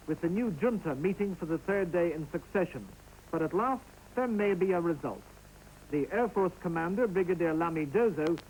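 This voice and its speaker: A man's voice: sits high, a little hiss or hum, rough recording. A man reading a scripted news report as a correspondent.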